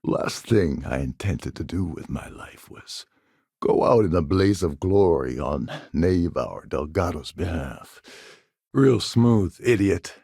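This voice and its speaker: An actor playing a gruff, cynical, self-serving space pirate in a video game, with a gravelly voice and a wry, sardonic, world-weary manner. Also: male voice, deep voice, lively intonation, slow pace, dark tone, dry recording, clean studio sound, full character voice. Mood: wry, bargaining. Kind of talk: game character dialogue lines.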